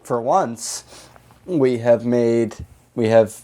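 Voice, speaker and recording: A man's voice: mid-range voice, extremely slow, dark tone. A man co-hosting a podcast in casual comedic chat, riffing in a jokey, sardonic way. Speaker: podcast co-host.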